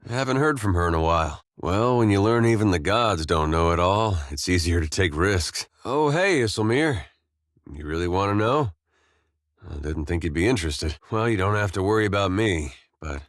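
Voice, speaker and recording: An actor playing an easygoing, wry, war-weary farmer turned adventurer in a video game, talking in a folksy, understated way with short, plain phrases. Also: male voice, deep voice, no echo, clean studio sound. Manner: folksy, laid-back, understated, drawling short clipped phrases. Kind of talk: video game companion dialogue.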